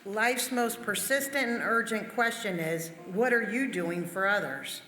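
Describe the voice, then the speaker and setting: Bright-voiced woman reading in echo. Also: mid-range voice, measured pace. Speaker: church member reading. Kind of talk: reading quotations aloud. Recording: echoey hall, music underneath. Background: music.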